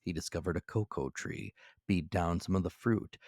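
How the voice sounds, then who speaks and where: A man reading classic adventure fiction as a dramatic audiobook narrator, in a measured, deliberate manner. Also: sits low, darkish tone, no room sound, clean studio sound.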